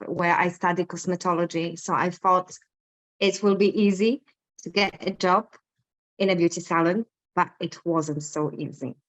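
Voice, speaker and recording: A woman's voice: medium pitch, highs cut off. Woman, 30s, Polish accent, candid storytelling, interview guest, video call. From Poland. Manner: chatty, candid storytelling with fillers. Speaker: guest artist.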